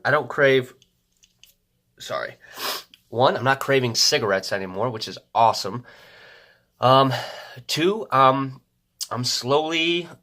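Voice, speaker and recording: A man's voice: medium pitch, sharp tone. A stuffed-up young man talking casually to his camera at home, rambling with many ums and restarts. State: congested, stuffed-up nose. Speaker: vlogger. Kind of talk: casual vlog talk to camera.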